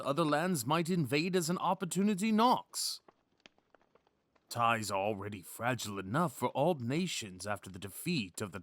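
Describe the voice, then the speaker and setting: A man's voice: mid-range voice, no room sound. A man playing a cautious, reasoning royal advisor in a fantasy audio drama, with a formal, argumentative manner.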